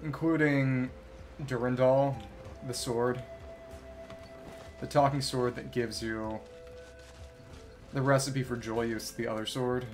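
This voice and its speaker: A man's voice: mid-range voice, little pitch movement, slow, long pauses, a little mellow. A man giving casual live commentary as a video game streamer, rambling and thinking aloud as he plays. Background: video game music.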